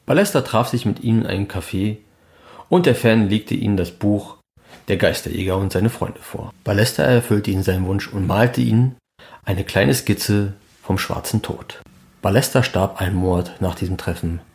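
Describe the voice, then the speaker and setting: Male podcast narrator, low register, scripted documentary read. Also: normal speed, gravelly, darkish tone. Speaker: fan podcast host and narrator.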